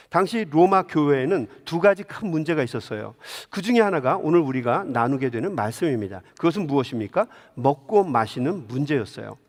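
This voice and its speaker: A male pastor delivers a sermon in a highly animated, fairly high voice. He tells stories and turns to his listeners with direct questions. His words ring out with the long reverb of a large church hall. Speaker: pastor preaching to a congregation. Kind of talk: Sunday sermon with storytelling and rhetorical questions. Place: large indoor church sanctuary.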